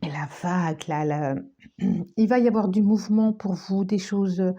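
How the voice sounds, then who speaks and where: A woman with a cold giving a tarot reading, speaking slowly with many restarts and repetitions, sometimes dropping to a near-whisper. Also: mid-range voice, warm tone, dull audio. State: has a cold, coughing. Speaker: tarot card reader.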